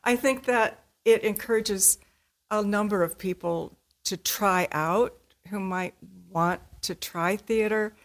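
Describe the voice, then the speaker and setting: A woman's voice: medium pitch, slow. An older woman giving a casual interview as a guest, playful and self-deprecating in how she talks. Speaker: amateur community theater actor, interview guest.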